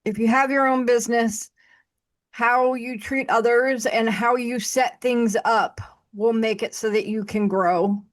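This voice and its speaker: A woman's voice: fairly high pitch, fairly even intonation, measured pace. Woman host, casual, blunt, restarts a lot. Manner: blunt, frank, with frequent restarts. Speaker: podcast host. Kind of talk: casual conversation.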